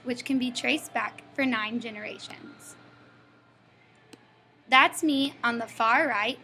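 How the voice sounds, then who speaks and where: A woman's voice: a little high, pushed and bright, slight background noise. A young woman from Texas reading her school family-history presentation in a scripted recitation. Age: young adult. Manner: scripted recitation with a playful aside. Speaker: student presenter.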